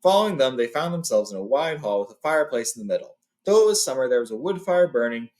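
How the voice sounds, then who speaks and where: A man hosting a read-along, telling and reading a story in an informal, expressive way. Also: medium pitch, poor audio. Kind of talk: storybook reading with casual retelling.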